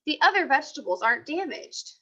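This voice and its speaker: A woman's voice: high voice, big pitch swings, fast, pushed and bright, voice pushed up, exaggerated voice. A woman voicing a bold, bouncy, confident schoolgirl detective from a storybook. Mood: confident, eager. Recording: dull, lo-fi sound.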